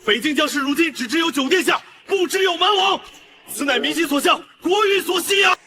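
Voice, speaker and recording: A man playing a fervent imperial herald in an animated costume drama, declaiming urgent reports. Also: very high voice, a little fast, slight background noise. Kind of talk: Chinese animated web drama voice acting.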